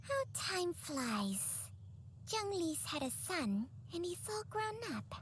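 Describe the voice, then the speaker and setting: A woman voicing a wise, gentle female snake spirit in a video game, composed and a little wistful. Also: extremely high pitch, sing-song, slow pace, slight background noise, heavily acted.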